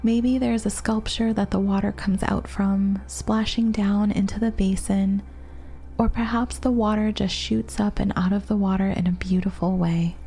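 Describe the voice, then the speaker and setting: A woman narrating a guided relaxation meditation in a soft, gentle, soothing manner with long pauses. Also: medium pitch, level tone. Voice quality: soft, breathy. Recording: meditation music behind the voice.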